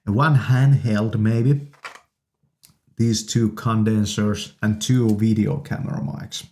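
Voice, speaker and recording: Male gear-review host: low, soft-edged dark voice, casual and enthusiastic, speaking in halting phrases into a studio condenser. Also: fairly even intonation. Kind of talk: casual product demonstration. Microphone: studio condenser microphones.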